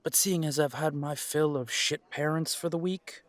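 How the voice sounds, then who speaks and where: A man's voice: fairly high pitch, steady tone, normal speed, no room sound, close to an everyday voice. A man playing a cocky, wry werewolf alpha and former soldier in an audio drama, sardonic and casual in his challenge.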